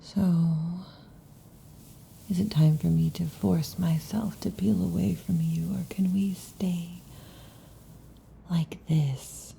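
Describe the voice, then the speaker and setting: A woman voicing a restless, affectionate female lamia in an ASMR roleplay, whispering softly. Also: fairly low pitch, steady tone, slow, vocal fry, pauses often, slight background noise, exaggerated voice, poor audio. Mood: whispery, intimate. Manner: soft intimate whispering. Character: restless affectionate female lamia, snake-tailed monster girl.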